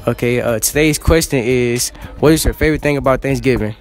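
A man's voice: medium pitch, a little fast, faint music behind. A teenage boy hosting casual school hallway interviews, joking and teasing in slang, holding a phone as a mic. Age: teens. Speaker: student interviewer, channel host. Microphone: handheld phone mic.

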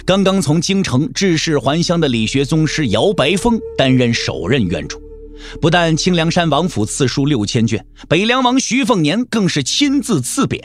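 Casting: male storyteller, dramatic novel narration with animated melody and a faint music bed. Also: on the high side, normal speed. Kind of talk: dramatic audiobook narration of a martial-arts novel. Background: soft background music.

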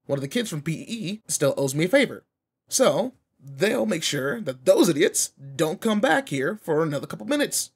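A cocky teenage troublemaker played by a man in a roleplay audio drama, with a somewhat high male voice. He talks in brash, casual slang straight to the listener, teasing them while staying protective underneath. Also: big pitch swings, moderate pace, breathy edge, full character voice. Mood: confident, brash.

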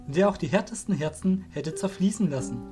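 A man's voice: sits high, lively intonation, moderate pace, music in the background. A man reading scripted narration for a fantasy audio drama.

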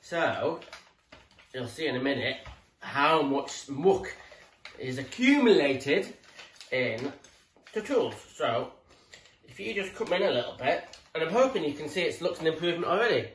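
A man's voice: a little high, lively intonation, unhurried. A British man in his forties speaking casually and chattily to camera as a tradesman giving informal, rambling tool tips. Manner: chatty, rambling, matey, mildly sweary. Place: living room, indoors. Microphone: camera a few feet away. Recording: echoey room, distant mic, background noise.

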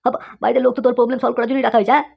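A woman's voice: on the high side, fairly even intonation, rapid-fire, vocal fry, darkish tone, husky, heavily acted. A woman voicing a hot-tempered, exasperated young cartoon husband who scolds and blusters. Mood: furious. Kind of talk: comic cartoon dialogue. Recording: dull lo-fi sound.

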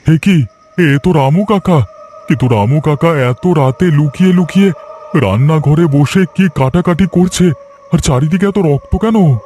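Man playing a high-voiced nervous young man. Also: measured pace, dark tone, faint music behind, close to an everyday voice. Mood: anxious. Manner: nervous, stammering, pleading. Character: timid, anxious young man among friends. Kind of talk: horror cartoon voice acting.

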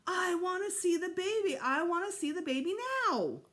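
A woman voicing an excitable, demanding, whiny preschool boy for a children's storybook. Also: extremely high pitch, moderate pace, voice pushed up. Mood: demanding howling. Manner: whiny, insistent, childlike exclaiming. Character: excitable, demanding preschool boy, loud whining little brother. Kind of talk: children's book read aloud.

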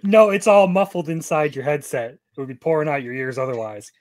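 A man's voice: a little high, a little fast, darkish tone. A man hosting a casual group chat with teasing banter. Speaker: podcast host.

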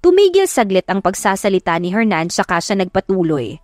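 A woman narrates a romantic drama audiobook with expressive, measured delivery. Her voice sits at a medium pitch and is recorded dry and close, with music playing underneath. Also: dry recording. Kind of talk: scripted dramatic first-person love story narration. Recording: music behind the voice.

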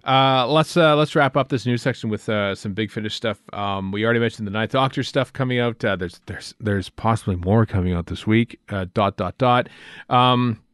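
Halting low-voiced man hosting a podcast. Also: fairly bright tone, clean tone, no room sound, clean studio sound. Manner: hesitant, halting delivery with many ums and pauses. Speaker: podcast host. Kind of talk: casual chat, reading news to co-hosts.

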